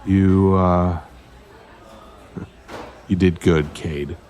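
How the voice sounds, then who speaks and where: A grim investigator in an audio drama, with a very low voice and slow, deliberate delivery. He is terse and protective. Some noise sits behind him. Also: steady tone, a little mellow. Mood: awkward, gentle.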